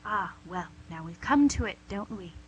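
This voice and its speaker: A woman's voice: medium pitch, animated, normal speed, lo-fi sound, lightly acted. A woman playing a suave female devil boss in an amateur radio comedy, pedantic, condescending and mock-polite. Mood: smug, commanding. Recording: amateur radio recording with hum, noise.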